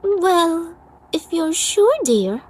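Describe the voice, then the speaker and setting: A woman's voice: extremely high pitch, sing-song, extremely slow, a little mellow, heavily acted. A woman voicing a refined, dramatic female pony adventurer in an amateur comic dub. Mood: flustered. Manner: theatrical, genteel, effusive. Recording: music behind the voice.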